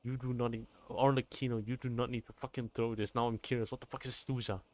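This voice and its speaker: A man's voice: medium pitch, flat delivery, quick pace. A man live-streaming a game with casual, bantering commentary and free swearing. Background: game music.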